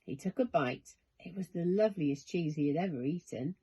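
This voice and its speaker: A woman narrating a children's bedtime story in an expressive, measured, dramatic way. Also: low voice, slightly rough.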